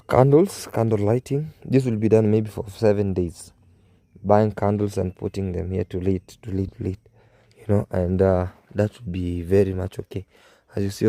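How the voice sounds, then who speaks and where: A young man vlogging at his family home, soft-spoken and mournful, with a hoarse voice and many fillers. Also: fairly low pitch, no echo. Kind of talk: casual vlog to camera.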